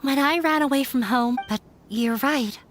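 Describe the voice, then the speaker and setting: A woman's voice: sits high, moderate pace, faint music behind, close to an everyday voice. A woman voicing a proud young female performer in a video game, wounded but resolute, earnest and dramatic.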